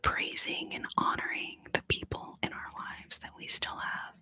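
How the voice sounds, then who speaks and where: Tearful whispering woman. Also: dry recording. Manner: soft, slow, emotional, pauses often. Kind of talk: whispered ASMR chat to viewers.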